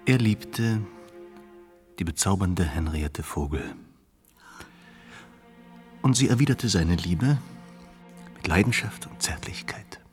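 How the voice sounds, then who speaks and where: An actor playing a grave, sorrowful romantic in a radio drama, recounting in a measured, intense way. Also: male voice, low-pitched, lively intonation, faint music behind. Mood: solemn. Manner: measured, intense, dropping to near whispers. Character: grave, sorrowful romantic man telling a tragic tale.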